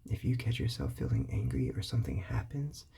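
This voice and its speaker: A man whispering calm, patient parenting advice, repeating his points as he explains. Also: on the low side, fairly quick. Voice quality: whispery, breathy, gravelly.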